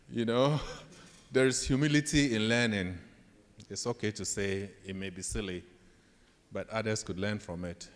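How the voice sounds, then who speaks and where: A man with a Ghanaian accent giving a storytelling leadership talk to students as a professor, acting out bits of dialogue in an anecdotal way. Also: lowish voice. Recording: echoey hall with long reverb.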